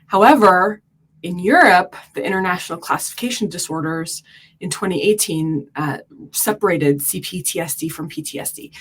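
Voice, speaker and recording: A woman from Turkey, a podcast guest, explaining at length in an earnest way with many fillers. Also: on the low side. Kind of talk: explanatory interview talk.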